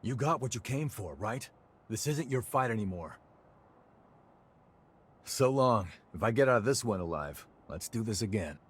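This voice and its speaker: A man's voice: on the low side, lively intonation, moderate pace, pauses often, slightly rough. An actor playing an easygoing, wry male hunter in a video game cutscene, speaking in a casual, colloquial way. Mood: urgent, resolute.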